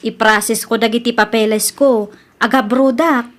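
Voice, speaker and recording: A woman's voice: on the high side, fairly even intonation, a little slow, lightly acted. A woman playing a fed-up, stubborn, outspoken young woman in a comedy radio drama. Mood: defiant.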